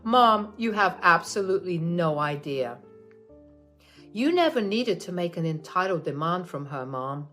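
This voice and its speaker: A woman's voice: fairly low pitch, animated, measured pace, a bit bright, soft background music. Woman doing a furious young husband. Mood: angry. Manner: firm, reproachful, raised voice. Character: angry young husband defending his wife.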